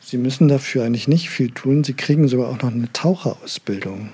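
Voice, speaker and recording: A man's voice: mid-range voice, a little fast, warm tone, breathy edge. An actor playing a reassuring but pushy care centre salesman in a naturalistic radio drama, talking in a coaxing way. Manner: coaxing, leading tag questions, reassuring.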